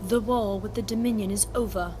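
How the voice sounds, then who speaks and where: A woman's voice: mid-range voice, fairly even intonation, brisk, background noise, close to an everyday voice. A woman playing a devoted, earnest Romulan follower of logic, with formal, careful phrasing in a sci-fi audio drama. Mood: tender, solemn.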